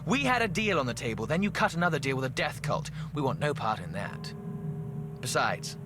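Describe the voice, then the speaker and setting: An actor playing an aristocratic ancient mummy in a video game, arch, witty and disdainful. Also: male voice, mid-range voice, big pitch swings, fairly quick, music in the background, close to an everyday voice. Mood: disdainful, teasing. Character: aristocratic, sardonic, haughty ancient mummy dealmaker. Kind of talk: theatrical video game dialogue.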